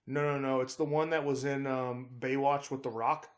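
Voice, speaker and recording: A man's voice: mid-range voice, level tone, moderate pace. A man co-hosting a casual true-crime podcast, talking conversationally and often groping for words and names. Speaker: podcast co-host.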